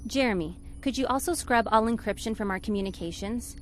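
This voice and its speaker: An actress playing a sensible, sardonic spaceship crew member with a dry, exasperated manner, in a 1950s-style space radio serial. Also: female voice, fairly high pitch, a little fast, a little hiss or hum, close to an everyday voice. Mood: practical, urgent.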